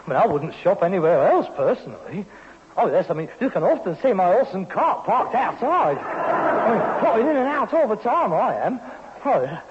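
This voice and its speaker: A man's voice: high-pitched, very animated, moderate pace, in a large hall. A man acting a resentful, sarcastic rag-and-bone man with a London accent in an old British radio sitcom. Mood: bitter sarcasm. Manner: sarcastic, mock-impressed. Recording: noisy, dull vintage radio recording.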